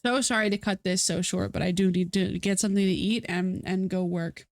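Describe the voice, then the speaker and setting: A woman talking casually on a live stream from home, her voice pitched in the middle and a little stuffy. She rambles and restarts, full of fillers and self-mocking asides. The sound is close and dry, with no room echo. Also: fairly even intonation, fairly quick. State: stuffy nose.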